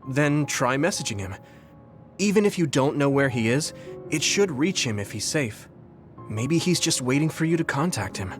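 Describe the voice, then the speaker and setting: Earnest young recruit played by a man, lively intonation. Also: mid-range voice, normal speed, music in the background, natural voice. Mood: supportive. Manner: sincere, supportive. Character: earnest, caring young male military recruit. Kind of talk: English video game voice acting.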